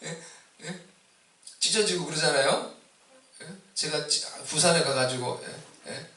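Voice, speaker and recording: Male pastor from Seoul, Easter sermon, ringing bright tone, distant mic in an echoey church hall. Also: unhurried. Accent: Seoul Korean with a mix of Busan dialect. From Seoul, Korea. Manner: rises loud and high on exhortations, asks the congregation questions.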